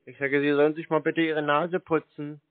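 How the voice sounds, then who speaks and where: A man chatting casually on a call, teasing in a deadpan way. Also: fairly high pitch, moderate pace, narrow, tinny audio. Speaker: scam-baiter taking calls. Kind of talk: casual phone conversation.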